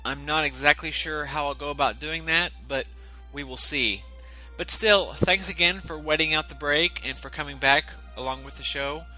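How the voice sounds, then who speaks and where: Bright-voiced male podcast host, slightly congested. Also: on the high side, moderate pace, rough recording. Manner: earnest, apologetic, reads notes with filler "you know". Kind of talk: casual scripted podcast talk. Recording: dry close recording, faint music.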